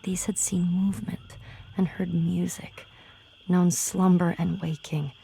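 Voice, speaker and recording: A woman reading poetry and quotations for game audio logs in a soft, contemplative, measured way with long pauses. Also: lowish voice, flat delivery, creaky, slight background noise. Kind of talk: reflective recitation of poetry and quotations. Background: game ambience.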